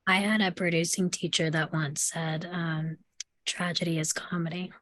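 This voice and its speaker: A woman's voice: fairly low pitch, flat delivery, normal speed, a bit bright, dry recording. A woman telling stories casually in a group discussion, with hesitant, thoughtful phrasing. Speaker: actor in table read cast.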